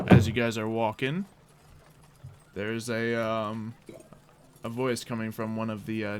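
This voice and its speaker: A man narrating a tabletop roleplay as game master in a halting, conversational way. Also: fairly low pitch, background noise.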